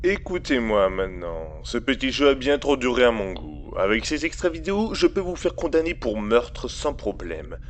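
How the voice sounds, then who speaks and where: A man's voice: mid-range voice, sing-song, slight background noise, natural voice. A man playing a cold mocking villain. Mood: taunting. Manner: smooth, taunting, deliberate. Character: cold scheming male villain, mocking blackmailer. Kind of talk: audio drama acting.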